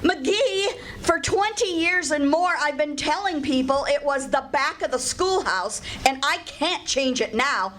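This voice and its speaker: Sensible, teasing wife for stage radio comedy female actor, high voice, live sound with faint background noise. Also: lively intonation, a little slow, few pauses. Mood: gently scolding. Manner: affectionate, wry, gently scolding. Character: patient, sensible, teasing wife of a blustering husband. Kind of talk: old-time radio comedy acting on stage. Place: live performance at a public venue.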